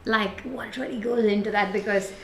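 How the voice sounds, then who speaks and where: A woman's voice: mid-range voice, steady tone, normal speed, creaky, a bit bright. A woman hosting a podcast interview, eager and gushing, often stumbling and repeating words. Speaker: podcast host. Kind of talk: casual interview chat. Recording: echoey room, faint noise.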